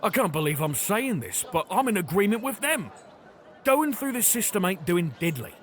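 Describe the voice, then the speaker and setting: An actor playing a gruff, plain-spoken man in an audio drama, colloquial and insistent. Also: sits high, big pitch swings, moderate pace, slight background noise. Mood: grudging. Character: gruff, plain-spoken man on thin ice, offering help.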